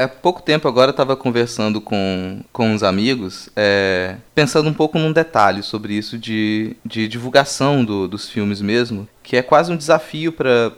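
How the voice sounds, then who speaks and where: Brazilian male panelist, mid-pitched, articulate and reflective, talking at length in casual discussion. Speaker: podcast panelist.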